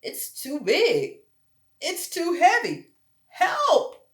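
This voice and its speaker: A woman voicing a young male brown bear in a children's storybook, needy and forlorn, with plaintive, drawn-out exclamations. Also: high-pitched, huge pitch swings, pitched above the performer's own voice, full character voice. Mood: strained. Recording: roomy echo.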